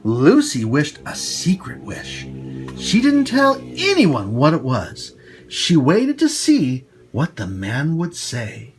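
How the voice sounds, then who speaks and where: A man's voice: on the high side, wildly swooping pitch, slow, faint music behind. A man hosting a children's story time, reading aloud expressively and speaking directly to young listeners with exclamations. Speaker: children's storytime host and reader.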